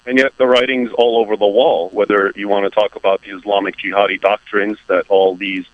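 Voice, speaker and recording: Low-voiced male guest on a dull line. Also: flat delivery, no room sound. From United States. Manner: measured, explanatory, emphatic lists. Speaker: author and guest commentator. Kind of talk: radio interview.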